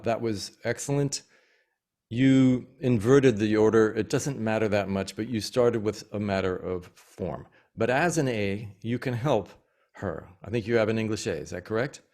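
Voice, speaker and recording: A man's voice: on the low side, darkish tone. A man teaching an interpreting practice session over a video call, explaining points in an encouraging way. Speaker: interpreting instructor.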